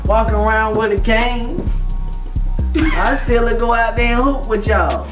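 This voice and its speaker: A man's voice: very high-pitched, a little slow. A man in his thirties giving a motivational talk to a group, preaching in call-and-response and retelling scripture in casual slang. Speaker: motivational speaker. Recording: distant roomy mic with music behind.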